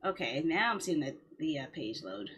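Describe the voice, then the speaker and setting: A woman's voice: deep voice, normal speed, muffled recording. A woman chatting casually to her viewers on a livestream, rambling in a mildly complaining, self-justifying way. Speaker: livestreamer, game streamer. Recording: steady background noise.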